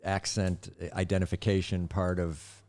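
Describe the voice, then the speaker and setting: A man from Wisconsin hosts a podcast with a very low, mellow voice. He is curious and strings several questions together while chatting casually. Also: level tone, unhurried. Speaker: podcast host. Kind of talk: casual interview chat.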